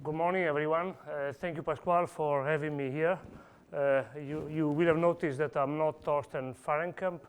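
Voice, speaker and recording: A man with an Italian accent giving a technical conference talk, pausing often with fillers and restarts. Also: a little high, steady tone, clean tone, poor audio. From Italy. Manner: hesitant, many "uh" fillers and restarts. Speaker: conference speaker. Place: conference hall.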